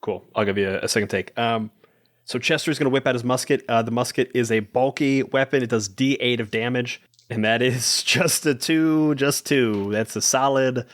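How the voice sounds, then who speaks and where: A man with an average-pitched voice takes part in a tabletop game as a player. He talks casually and improvises, describing what his characters do in colloquial terms. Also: moderate pace.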